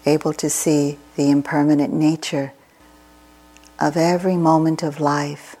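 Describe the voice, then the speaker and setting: Very slow, low-pitched woman guiding meditation. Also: flat delivery, mellow, low-quality recording. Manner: measured, soothing, instructing in short phrases. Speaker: meditation teacher. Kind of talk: guided meditation, slow and deliberate with long pauses.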